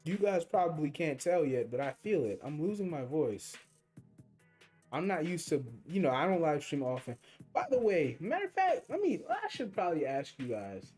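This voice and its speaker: A man's voice: on the high side, sing-song, normal speed, a little mellow, husky. A young man giving rambling, slangy commentary while livestreaming a mobile game, with faint game music behind him. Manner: rambling, slangy, thinking aloud. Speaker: gaming streamer. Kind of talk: casual livestream commentary over gameplay.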